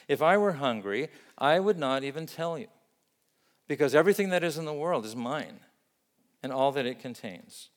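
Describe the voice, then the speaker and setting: Man preaching in a reverberant hall. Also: a little high. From Vietnam. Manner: earnest, measured preaching with emphatic lists. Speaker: pastor. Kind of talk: sermon.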